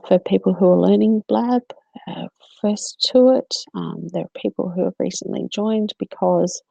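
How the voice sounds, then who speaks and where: A woman's voice: fairly low pitch, creaky, mellow, no room sound, low-quality recording. A woman from South Australia with a South Australian accent, co-hosting a casual live-stream Q&A and chatting to viewers, with plenty of ums. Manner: chatty, many ums, answers viewers by name. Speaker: co-host.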